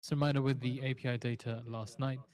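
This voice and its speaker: A man reading market news headlines and figures, crisp and scripted. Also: mid-range voice, little pitch movement, fast, a little mellow. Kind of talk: rapid scripted news read.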